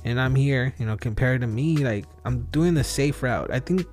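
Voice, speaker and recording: Male host, thirties, mid-pitched voice, solo reflective monologue that rambles and second-guesses itself, faint music underneath. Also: normal speed, a little mellow. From outside the US. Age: 30s. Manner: rambling, self-questioning, with frequent fillers and restarts. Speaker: podcast host.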